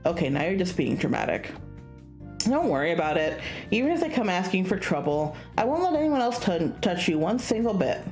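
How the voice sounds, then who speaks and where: Woman playing a breezy, protective young senior guy, animated. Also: lowish voice, fairly quick, roomy sound, soft background music. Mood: breezy, reassuring.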